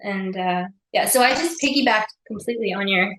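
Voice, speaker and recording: A woman's voice: mid-range voice, fairly quick, reverberant, a loud crowd, poor audio. A woman co-hosting a podcast, chatting casually and laughing often. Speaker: podcast co-host. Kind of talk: casual chat over wine.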